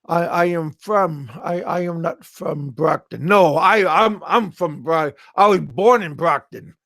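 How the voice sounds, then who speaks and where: A middle-aged American man chatting casually on a live stream, halting and repeating his words. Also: on the high side, fairly bright tone, dry recording, clean studio sound. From USA. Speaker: livestream host.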